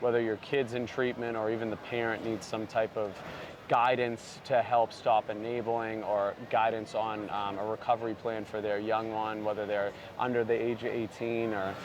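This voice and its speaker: A man's voice: fairly low pitch, flat delivery, normal speed, noisy background. A man who founded a barber brand, talking earnestly in a sit-down interview and leaning on lots of "you know" fillers. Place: outdoors at a busy trade convention.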